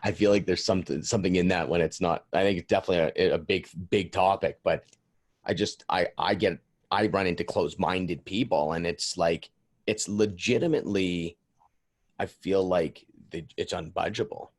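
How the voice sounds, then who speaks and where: Male interviewer, deep and husky, chatting casually with halting, informal delivery. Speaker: podcast host, interviewer.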